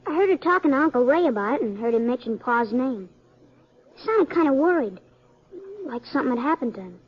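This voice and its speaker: A woman's voice: sits high, animated, fairly quick, breathy edge, dull audio. A woman playing an earnest, wary eleven-year-old runaway boy in a 1950s western radio drama, with an American accent and plain country talk.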